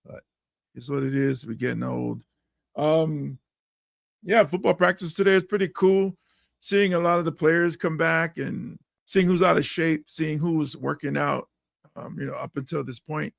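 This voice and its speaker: A middle-aged man from Hawaii with a hoarse, raspy voice, hosting a podcast chat in a lively way, laughing often and acting out quotes. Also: on the high side, normal speed, dry recording, studio quality. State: hoarse from coaching. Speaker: podcast host and comedian.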